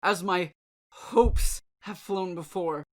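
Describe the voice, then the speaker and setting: A woman giving a theatrical, measured dramatic reading of gothic poetry with deliberate enunciation. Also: on the low side. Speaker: dramatic reader.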